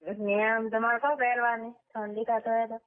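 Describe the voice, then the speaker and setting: A woman's voice: fairly high pitch, flat delivery, normal speed, background noise. A woman, chatting casually. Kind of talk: casual phone chat. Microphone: phone line. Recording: narrow, tinny phone call recording.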